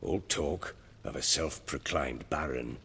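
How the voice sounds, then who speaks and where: A grim ex-soldier baron, low and husky, slow and weighty, with a long reverb behind him. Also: male voice, sharp tone, exaggerated voice. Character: ex-soldier male warlord, self-proclaimed baron.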